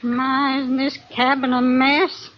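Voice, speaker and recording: A woman's voice: sits high, level tone, slow. An actress playing a chatty, motherly older woman aboard a ship, in a homely 1940s radio drama style. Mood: fussing. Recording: muffled old radio recording.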